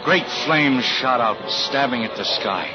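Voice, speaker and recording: A man's voice: mid-range voice, expressive, measured pace, lightly acted. Muffled old radio drama, actor doing intense brooding husband guy, very up and down, big music. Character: troubled husband, a fire inspector, haunted and desperate. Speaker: actor and first-person narrator. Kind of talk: 1940s radio thriller acting.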